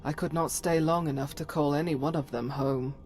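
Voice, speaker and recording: Female actor for solemn, pious adventurer in fantasy game; deep-set voice, measured archaic delivery. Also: steady tone, a little mellow, soft background music, lightly acted. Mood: reverent, earnest. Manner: formal, archaic phrasing, measured and earnest. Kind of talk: scripted fantasy game dialogue.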